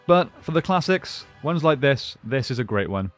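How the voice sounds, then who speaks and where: A man's voice: mid-range voice, sing-song, normal speed, soft background music. A man giving a casual unboxing and review, talking informally and thinking aloud as he goes. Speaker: toy reviewer and collector.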